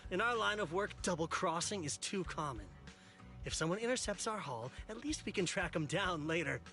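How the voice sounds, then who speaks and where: An actor playing a defensive, shifty thief in a video game, protesting in slangy, flustered speech. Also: male voice, sits high, lively intonation, normal speed, sharp tone, faint music behind, heavily acted. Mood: indignant, defensive. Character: defensive, shifty male thief who steals military mechs. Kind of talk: video game story voice acting.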